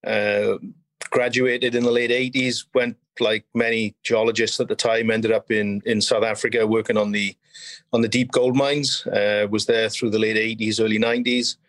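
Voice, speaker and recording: A man in his fifties with a Welsh accent, speaking as an interview guest in a measured, explanatory way. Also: on the low side, level tone, no echo. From South Wales. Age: 50s. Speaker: podcast guest, mining company chief executive and geologist. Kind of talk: conversational interview, recounting career.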